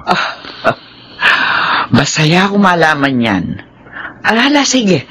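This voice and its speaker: An actress playing a caring middle-aged mother-in-law in a radio drama, speaking in a measured, motherly way. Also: fairly low pitch, very animated, a bit bright, breathy edge, heavily acted. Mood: pleased, tender. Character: caring older mother and mother-in-law, warmly obliging.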